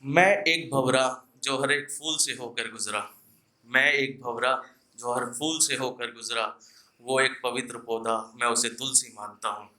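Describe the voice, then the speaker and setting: A man's voice: mid-range voice, fairly even intonation, a little slow, pushed and bright. A young man performing poetry live at an open mic, declaiming couplets with repeated lines and casual asides to the audience.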